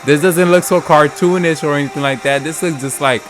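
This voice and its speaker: A man's voice: a little high, fairly even intonation, fairly quick, fairly bright tone. A man giving a casual, slangy, exclamatory live reaction as he streams game trailers. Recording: music behind the voice. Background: game trailer music.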